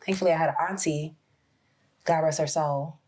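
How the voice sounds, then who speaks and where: Hoarse woman hosting a roundtable chat. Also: deep voice, steady tone, normal speed, darkish tone. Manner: reflective, searching for words. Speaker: podcast host.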